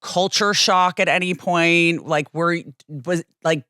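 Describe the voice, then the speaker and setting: A woman's voice: lowish voice, steady tone, takes it slowly, bright, forceful tone, clean tone, no echo, studio quality. Gushing young woman podcast host. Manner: gushing, many likes and oh my gods. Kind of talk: casual interview chat.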